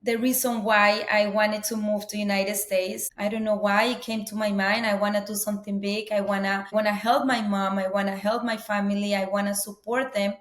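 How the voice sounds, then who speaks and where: A woman's voice: medium pitch, little pitch movement, moderate pace, hardly any pauses. A woman from Colombia, telling her story earnestly as a guest, often saying "you know". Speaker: guest, business owner. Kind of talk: interview conversation. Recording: roomy echo.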